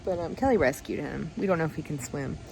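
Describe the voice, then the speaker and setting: A woman's voice: low voice, quick pace, gravelly, poor audio. A middle-aged woman chatting casually to a phone camera, rambling through updates on her days. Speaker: vlogger. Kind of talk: casual vlog talking to camera.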